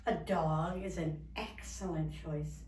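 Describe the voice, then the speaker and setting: A woman's voice: deep voice, fairly even intonation, takes it slowly, a little mellow, roomy sound, far from the mic. A woman voicing an eager, pushy man who runs an animal shelter, coaxing like a salesman in a storybook reading. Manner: coaxing, exclamatory sales patter.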